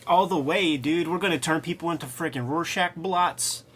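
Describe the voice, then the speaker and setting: A man with a fairly high voice talks comics in a loose, excited chat. He riffs freely, with plenty of slang and swearing, as a co-host would. There is clear noise behind him. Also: moderate pace. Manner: slangy, profane, riffing. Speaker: co-host of a comics review show. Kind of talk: casual, excited comic-book discussion.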